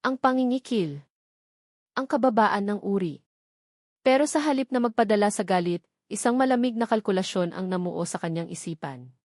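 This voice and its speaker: Female storyteller for dramatic romance narration, mid-range pitch, scripted read, dry studio-like sound. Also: animated, moderate pace, a little mellow, dry recording.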